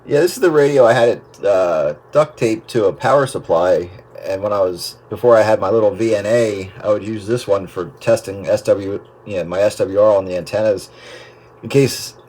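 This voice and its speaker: A man's voice: on the low side, moderate pace, a little mellow, husky. A man chatting casually and ramblingly over the radio about equipment, trailing off as he goes. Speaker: host of an amateur radio chat. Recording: noisy radio transmission with static.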